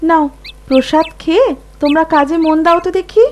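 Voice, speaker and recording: High-voiced village housewife. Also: female voice, brisk, a little hiss or hum. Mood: kindly, brisk. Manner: questioning, gently nagging. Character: doubtful, caring Bengali village housewife. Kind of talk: cartoon voice acting.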